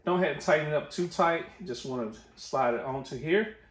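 A man's voice: on the high side, a little fast. Echoey American man doing casual DIY narration. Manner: informal, thinking aloud as he works. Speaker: DIY tutorial host. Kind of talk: casual how-to narration while working. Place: garage workshop, indoors. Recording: echoey garage with noise and clicks.

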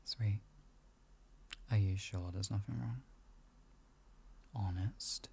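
A man playing a gentle, doting boyfriend in an improvised ASMR roleplay, coaxing softly and reassuringly in an intimate, husky, gravelly voice. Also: lowish voice, fairly even intonation, slow, slight background noise. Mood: gentle concern. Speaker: voice actor in audio roleplay.

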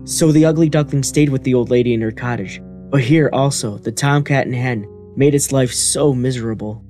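A man's voice: medium pitch, fairly quick, mellow. A man reading scripted narration for a children's fairy tale. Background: music.

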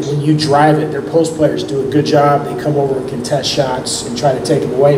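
A middle-aged man, a head coach, answering post-game questions in a measured way at a press conference table mic. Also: a little high. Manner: measured, repeats words when starting sentences. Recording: echoey room with background noise.

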